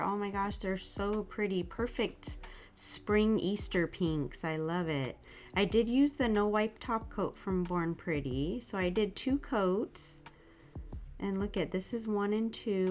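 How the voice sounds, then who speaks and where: A woman hosting her own nail art channel, chatting casually through a product review, a little sick with an irritated throat, soft and gushing. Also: medium pitch, unhurried.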